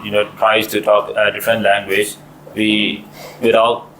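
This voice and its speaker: A man's voice: lowish voice, completely flat delivery, normal speed, fairly bright tone, a little hiss or hum, low-quality recording. A man in his twenties whose first language is Burmese, answering in class with many fillers. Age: 20s. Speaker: student.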